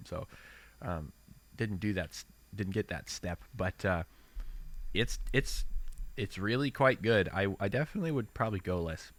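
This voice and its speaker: A man's voice: low-pitched, a bit bright. A man hosting a casual podcast chat, sounding congested, rambling and correcting himself as he talks. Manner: rambling, self-correcting, laughs often. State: congested after illness. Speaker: podcast host.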